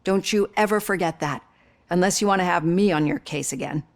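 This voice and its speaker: An actress playing an earnest woman doctor, speaking in measured, reassuring sentences. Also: lowish voice, natural voice.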